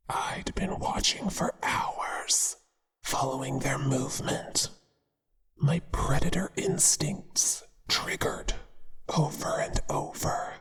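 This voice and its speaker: A performer voicing a possessive male spider monster, a devoted but menacing lover, in a hushed ASMR whisper with a crooning, deliberate delivery. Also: medium pitch, breathy edge. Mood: predatory, intense. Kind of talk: whispered ASMR roleplay acting. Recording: long reverberant echo.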